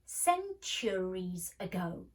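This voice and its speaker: A woman's voice: medium pitch, big pitch swings, drawn-out words, recorded from a distance. A woman voicing a superior, scornful young boy in a storybook, with an emphatic, condescending manner. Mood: lofty, superior.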